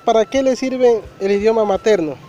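Brief: high, mellow-toned male community reporter, faint music behind. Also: moderate pace, highs cut off. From Colombia. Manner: plain, asks questions. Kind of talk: community radio interview.

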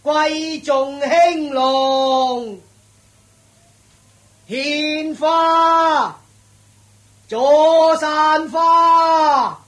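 A man's voice: very high-pitched, fairly bright tone, heavily acted. A man with a Hong Kong Cantonese accent playing a dutiful, deferential household steward in a radio drama, speaking ceremoniously. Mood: solemn proclaiming.

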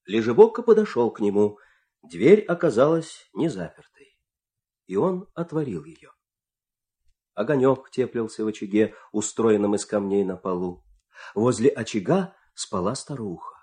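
A man narrating a fairy tale as a dramatic storyteller, expressive and suspenseful, with deliberate pauses. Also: on the low side.

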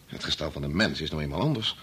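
An actor in a Dutch radio play playing a self-absorbed, irritable medical researcher who talks insistently and repeats himself. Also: male voice, extremely low pitch, expressive, fast talker, fairly bright tone, slightly rough, full character voice. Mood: earnest, explaining. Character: embittered, self-absorbed male medical researcher, agitated husband.

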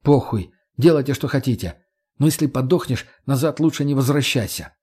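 A man's voice: mid-range voice, animated, brisk, darkish tone, breathy edge. A man playing an irritable, pedantic, weary gang boss in an audiobook, dry and sarcastic. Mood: irritated.

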